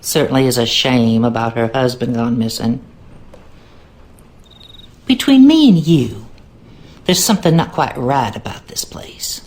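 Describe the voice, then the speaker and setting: Deep-voiced cleaning woman. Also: animated, unhurried, pauses often, darkish tone. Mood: welcoming. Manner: folksy, confiding. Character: folksy, gossipy working-class cleaning woman. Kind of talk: game character monologue.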